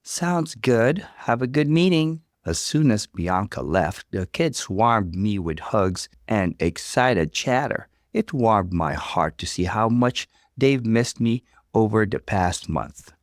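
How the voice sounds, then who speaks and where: A man reading a story aloud as scripted, expressive narration. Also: on the low side, takes it slowly, hardly any pauses, no echo, clean studio sound.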